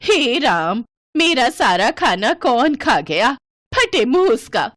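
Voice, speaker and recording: A woman voicing a grumpy, stingy, devout old village woman who scolds and exclaims in an animated moral story. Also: fairly high pitch, slow, dry recording, voice pushed up, heavily acted. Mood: upset, indignant.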